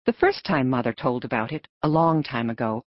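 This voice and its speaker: A woman narrating an audiobook story in an expressive storytelling manner. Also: extremely low pitch, a little fast, dry recording, lo-fi sound.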